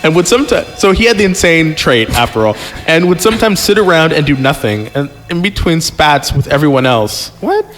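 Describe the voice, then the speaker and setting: A man's voice: on the high side, big pitch swings, fairly quick, hardly any pauses, fairly bright tone. A man hosting a podcast, reading a story aloud casually, stumbling over the text and breaking off to joke and comment. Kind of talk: casual read-aloud with joking banter. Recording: long reverb, music underneath. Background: music.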